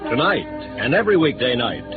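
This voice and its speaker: A man's voice: medium pitch, very animated, brisk. A man announcing a drama program in formal 1950s radio style. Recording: tinny vintage radio recording, loud music.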